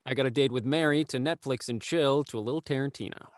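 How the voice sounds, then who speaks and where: A man's voice: medium pitch, fast, no echo, studio quality, close to an everyday voice. An actor playing a brash, broke young man, a protective older brother who talks bluntly and swears. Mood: gruff. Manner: blunt, crude, defensive.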